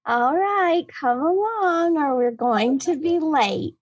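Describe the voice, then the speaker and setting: A woman's voice: high-pitched, lively intonation, slow. A woman playing a polite, flustered mother in a radio drama, on a slightly dull recording.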